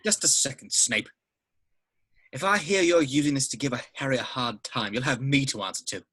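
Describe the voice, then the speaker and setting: A man's voice: medium pitch, brisk, sharp tone, slightly rough. A man playing a defiant, protective wizard godfather in a script read, firm and confrontational. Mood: firm defiance.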